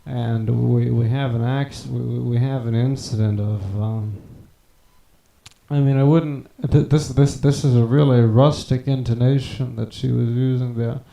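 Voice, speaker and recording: A man rambling on a live stream, stammering and halting, with wordy, formal phrasing. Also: medium pitch, fairly even intonation, creaky, dark tone, reverberant, poor audio. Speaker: prank call stream host.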